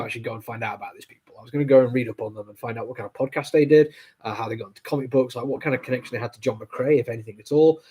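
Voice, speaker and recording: A man with a heavy cold talks through a comic book review, his voice nasal and a little husky. He rambles casually, full of ums and apologies, at an ordinary middle pitch. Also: darkish tone. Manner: rambling, with many fillers and apologies. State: stuffy nose from a cold.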